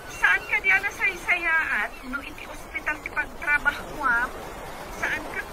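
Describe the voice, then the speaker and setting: A woman's voice: high-pitched, unhurried, a crowd in the background. A woman playing an eager, nosy female friend in an Ilocano radio comedy-drama. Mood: chatty, surprised. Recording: noisy radio drama mix.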